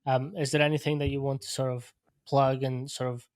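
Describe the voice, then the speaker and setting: A man hosting a casual podcast interview, relaxed and loose, with lots of "like" and "sort of". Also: medium pitch, brisk, clean tone, no echo, clean studio sound. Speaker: podcast host.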